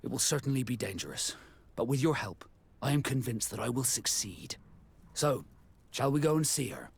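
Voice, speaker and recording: An actor playing a loyal, determined sea captain in a video game, speaking formally and resolutely. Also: male voice, medium pitch, animated, moderate pace, gravelly, husky, full character voice. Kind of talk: dramatic game dialogue.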